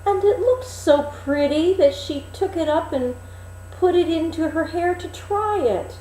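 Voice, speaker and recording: A woman reading a fairy tale expressively, with deliberate, dramatic phrasing and emphasis. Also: very high voice, dark tone, reverberant, slight background noise, low-quality recording. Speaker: storyteller, reader.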